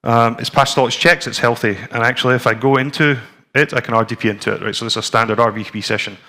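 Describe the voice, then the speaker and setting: A Scottish man in his forties presents at a tech conference, talking the room through slides and a live demo. His delivery is relaxed and conversational, with plenty of ums and restarts. He speaks at a middle pitch in a plain, easygoing way. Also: fast talker. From Scotland. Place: conference room with a screen and audience.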